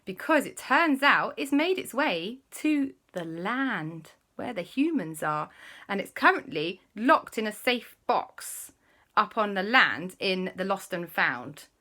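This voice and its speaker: A jolly pirate-talking sea explorer booms out, high and bright, as a woman puts him on. The voice swings up and down with storybook gusto. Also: sing-song, slow pace, heavily acted. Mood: hearty. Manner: hearty pirate talk, loud and high.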